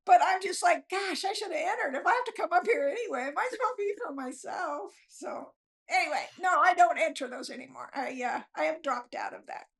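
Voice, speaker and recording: A woman's voice: extremely high pitch, animated, a little fast, gravelly. A woman chatting casually as an interview guest, joking and self-deprecating in manner. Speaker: guest novelist. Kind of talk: casual chat.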